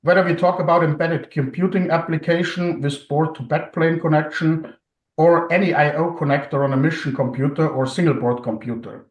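A man with a German accent, a podcast guest answering interview questions in measured, technical terms. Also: fairly high pitch, echoey room, distant mic.

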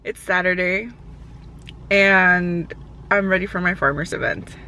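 A woman's voice: fairly low pitch, unhurried, bright, forceful tone, poor audio. A young woman vlogging to a handheld phone camera in an upbeat, chatty way, talking about running a market booth. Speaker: vlogger and entrepreneur. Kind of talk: casual vlog to camera. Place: car, home and outdoor market. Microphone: phone camera held at arm's length.

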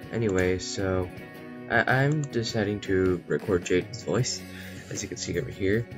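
A man giving a casual software tutorial as its host, in a poor home recording. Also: sits low. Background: plucked guitar music.

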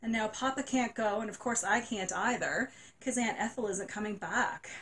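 A woman's voice: mid-range voice, moderate pace, a bit bright, a little hiss or hum, close to an everyday voice. A woman voicing a tearful, gushing nine-year-old girl in a storybook reading. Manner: sobbing, breathless and gushing.

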